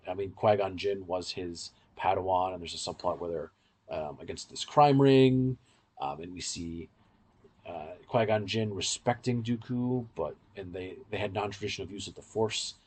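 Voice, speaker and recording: Low-voiced, husky man explaining in depth. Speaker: podcast co-host. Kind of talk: casual, in-depth fan commentary.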